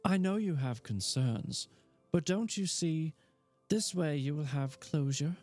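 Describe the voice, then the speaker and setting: A man's voice: a little high, expressive, a little slow, a little mellow, soft background music, voice pushed up. A man playing a playful young actress. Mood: coaxing. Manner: playful, reassuring. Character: lively, confident young woman actress.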